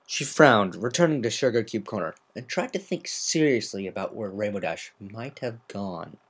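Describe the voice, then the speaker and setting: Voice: medium pitch, lively intonation, moderate pace, slightly rough. An amateur narrator giving a semi-dramatic reading of a scripted story, occasionally stumbling over words.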